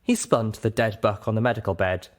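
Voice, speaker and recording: A man with a fairly low voice narrates an audiobook. He reads scripted first-person story prose. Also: fairly even intonation, fast talker.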